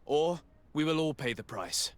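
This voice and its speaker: An actor playing an earnest, courteous diplomat in a video game, speaking in a polite, formal and measured way. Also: male voice, a little high, lively intonation, dry recording, natural voice. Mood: composed, inquiring. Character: courteous, earnest male diplomat, composed investigator. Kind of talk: dramatic video game dialogue.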